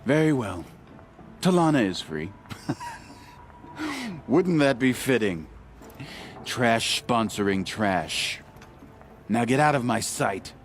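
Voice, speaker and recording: An actor playing a haughty, contemptuous hunting lodge leader in a video game, with a sneering, pompous delivery. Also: male voice, medium pitch, very animated, slow, long pauses, faint music behind. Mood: scornful.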